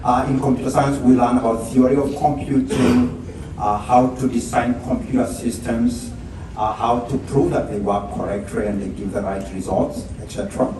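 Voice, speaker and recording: A man's voice: mid-range voice, fairly even intonation, moderate pace. A middle-aged man from Kenya with a Kenyan accent, explaining his teaching informally on a handheld microphone at a networking event. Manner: explanatory, asks rhetorical "right?". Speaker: computer science professor. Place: large indoor event space. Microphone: handheld microphone, heard from far off. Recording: very echoey hall from far off, background noise.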